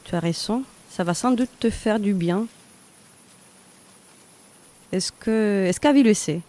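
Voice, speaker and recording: A woman's voice: mid-range voice, slow, warm tone, lightly acted. Woman playing a close friend at a party, mid voice, casual, affectionate, a bit teasing, audio drama. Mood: tender. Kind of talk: French fiction podcast acting.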